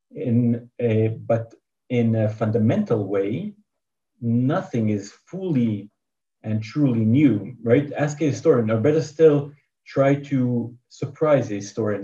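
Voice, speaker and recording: A man's voice: medium pitch, mellow, distant mic, lo-fi sound. A man with a Hebrew accent giving a conference lecture from a prepared paper over a video call, hesitant, with many fillers and dry asides. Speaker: academic lecturer.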